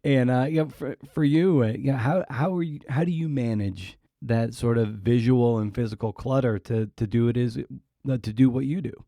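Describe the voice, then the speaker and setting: A man with a mellow, relaxed voice hosts a casual interview podcast. His pitch is average for a man and the sound is dry and close. He feels his way into each question in a loose, rambling fashion. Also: normal speed, dry recording.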